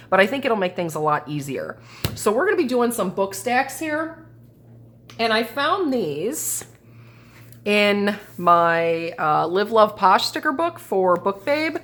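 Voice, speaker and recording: A woman's voice: medium pitch, fairly bright tone. A woman with a stuffy, sinusy voice chatting casually while setting up a planner, thinking aloud as she goes. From American Midwest. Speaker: planner and journal crafter. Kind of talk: casual crafting chat. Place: indoors at a craft table. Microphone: camera over the table.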